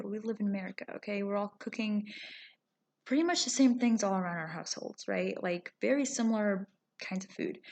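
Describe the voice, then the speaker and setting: A woman's voice: medium pitch, creaky, darkish tone. A young adult woman, raised speaking Arabic, talking casually to her viewers with lots of ums and "you know"s. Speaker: vlogger. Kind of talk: casual talking-to-camera vlog.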